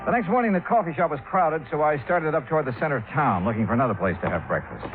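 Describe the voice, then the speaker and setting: An actor playing a calm, shrewd male investigator, questioning and narrating in measured old radio detective style. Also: fairly high pitch, lightly acted. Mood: sympathetic. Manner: measured, matter-of-fact, probing questions. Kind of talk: 1950s-60s radio detective drama acting, first-person narration. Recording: tinny old radio recording, music behind.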